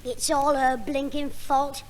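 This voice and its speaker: A cheeky schoolboy. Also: male voice, level tone, takes it slowly. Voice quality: piping high voice, cheeky laughing delivery. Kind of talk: 1960s radio sitcom acting. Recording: old radio recording, studio audience, hiss.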